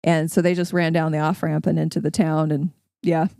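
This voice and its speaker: A woman's voice: low-pitched, flat delivery, a little fast, vocal fry, dark tone, clear, resonant tone, no echo, studio quality. An American woman telling anecdotes casually as a podcast guest.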